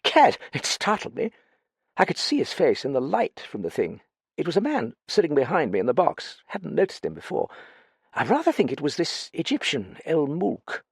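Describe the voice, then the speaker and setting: A man voicing a naive, flustered young gentleman with hesitant, stammering upper-class slang. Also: fairly high pitch, wildly swooping pitch, moderate pace, vocal fry, breathy edge, no echo, studio quality, pitched above the performer's own voice, full character voice. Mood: chatty, sheepish. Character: plump young English gentleman, naive, flustered, rather foolish.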